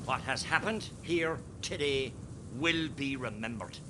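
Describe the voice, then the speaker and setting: A man's voice: medium pitch, lively intonation, background noise, natural voice. An actor playing an Irish rebel column commander in a radio play, rousing and solemn, declaiming like a man giving orders. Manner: slow, declamatory, barking orders.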